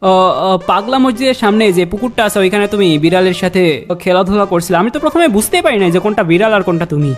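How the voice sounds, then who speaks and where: Young bangladeshi guy doing prank calls, talks quick, teasing fake-serious tone, bit of music under it. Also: high-pitched, expressive. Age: young adult. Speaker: prank-calling YouTuber. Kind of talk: comedic prank phone calls. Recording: music behind the voice.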